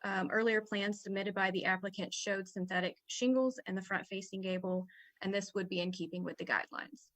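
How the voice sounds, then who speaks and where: A woman's voice: medium pitch, fairly even intonation, fairly quick, fairly bright tone, no room sound. Woman formally presenting a case, dry sound. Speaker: commission staff presenter.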